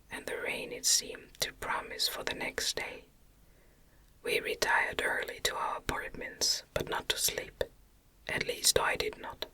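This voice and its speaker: A woman whispering a novel as an ASMR audiobook narrator, gentle and deliberate in her phrasing, close to the microphone.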